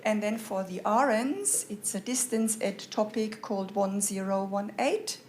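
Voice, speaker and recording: A woman's voice: lowish voice, darkish tone, slight background noise. German-accented woman science lecturer. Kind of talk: self-introduction and course overview.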